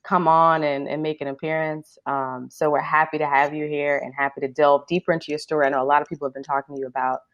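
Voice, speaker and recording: A woman's voice: low voice, little pitch movement, fast talker, few pauses, no echo, muffled recording. A woman hosting a podcast, interviewing a guest over a video call in an upbeat, welcoming way. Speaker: podcast host. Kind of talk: casual interview.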